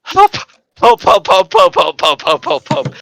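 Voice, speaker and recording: Man acting a manic, high-pitched boy. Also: wildly swooping pitch, a little slow, creaky, fairly bright tone, dry recording, exaggerated voice. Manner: manic, repetitive chanting.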